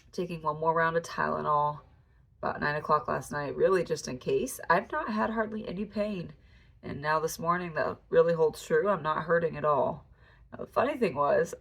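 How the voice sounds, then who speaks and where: A woman's voice: low voice, creaky, breathy edge. A woman talking casually in a vlog update, tired and very congested, her voice nasal like a bad cold. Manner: tired, relaxed recovery chat. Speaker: farm vlogger.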